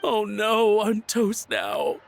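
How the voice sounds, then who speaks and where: An actor voicing a nervous, groveling middle-aged antique collector in a video game, speaking in a theatrical, fawning manner. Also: male voice, high voice, fairly even intonation, slow, gravelly, a little hiss or hum, full character voice. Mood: flustered. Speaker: game character.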